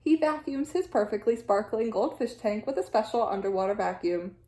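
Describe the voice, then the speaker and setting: A woman teacher reading a children's storybook aloud in an expressive, animated way with deliberate phrasing. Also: mid-range voice. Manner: animated, deliberate phrasing with dramatic sound-effect words. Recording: echoey room sound.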